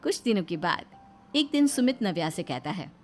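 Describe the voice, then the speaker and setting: A woman's voice: fairly low pitch, very animated, normal speed. A woman giving scripted narration as the storyteller of a cartoon moral story. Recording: background music behind the voice.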